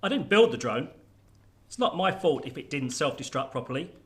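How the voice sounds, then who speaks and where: A man acts a military chief in a radio drama. His voice is slightly high and moves animatedly. He is blunt and defensive. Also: sing-song, a little fast. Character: defensive male army general dodging blame.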